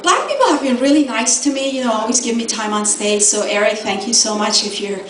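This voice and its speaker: A woman's voice: on the high side, lively intonation, brisk, slight background noise. A middle-aged woman from Iran doing a stand-up comedy set, sassy and laughing at her own jokes. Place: comedy club stage. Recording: echoey club from far off. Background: audience laughter.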